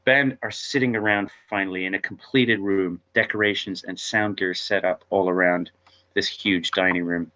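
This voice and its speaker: Male narrator for stage directions, bright and forceful yet lowish, captured on a muffled lo-fi mic. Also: steady tone, normal speed. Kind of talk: scripted narration at a table read.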